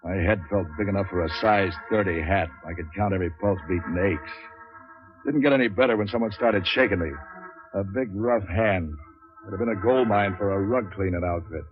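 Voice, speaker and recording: Deep-voiced, deadpan hard-boiled private eye, acted by a man. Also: a little fast, close to an everyday voice. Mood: wry narration. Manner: deadpan, sardonic. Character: cynical, wisecracking hard-boiled male private investigator narrating his case. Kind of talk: 1940s radio noir acting and narration. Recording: muffled old 1940s radio recording, music.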